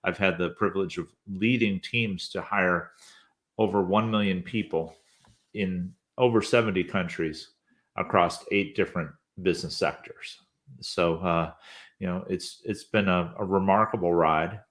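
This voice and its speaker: A man's voice: deep voice, measured pace, a bit bright. A man in his fifties, a guest telling his career story in an easygoing, rambling way with lots of hesitant fillers. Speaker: podcast host, interview guest.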